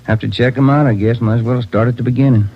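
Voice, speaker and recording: A man's voice: medium pitch, steady tone, quick pace, lightly acted. A man acting a wry, workaday American detective partner in a 1940s radio crime drama. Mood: wry, businesslike. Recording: dull, noisy 1940s radio recording.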